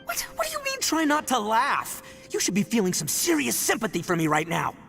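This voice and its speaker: Wildly animated oni boss. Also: male voice, extremely high pitch, fairly quick, creaky, soft background music, full character voice. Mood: indignant. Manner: brash, theatrical. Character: boisterous, boastful young male oni gang boss, easily rattled. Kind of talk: video game voice acting.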